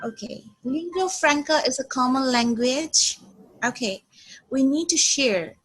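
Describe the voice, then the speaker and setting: Slow, high-voiced woman teacher in her twenties. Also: animated, fairly bright tone, slightly rough, low-quality recording. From Bangladesh. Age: 20s. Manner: slow and deliberate, repeats herself, frequent "okay". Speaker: English teacher. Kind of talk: online English lesson.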